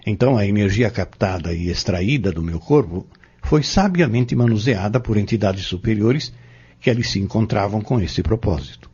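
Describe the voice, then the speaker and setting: An actor playing a patient spiritist mentor in an audio drama, with a Brazilian accent and a formal, measured, preacherly delivery. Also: male voice, on the low side, a little mellow, husky. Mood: earnest, instructive. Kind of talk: audio drama acting, didactic monologue. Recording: dull lo-fi audio.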